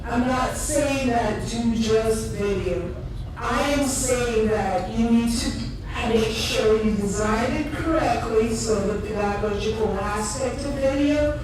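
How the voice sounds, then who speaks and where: A woman from Cameroon with a Cameroonian accent, giving a chatty conference talk and joking with the audience. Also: fairly high pitch. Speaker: conference presenter. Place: conference room. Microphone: handheld or stand microphone in a room. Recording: distant echoey room recording with noise.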